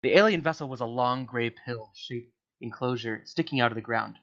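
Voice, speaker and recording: A man's voice: mid-range voice, normal speed, fairly bright tone. A man reading a story aloud in an amateur dramatic style, now and then stumbling over words and correcting himself. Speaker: reader of a comedic story to friends in a voice channel. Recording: dull lo-fi voice chat audio.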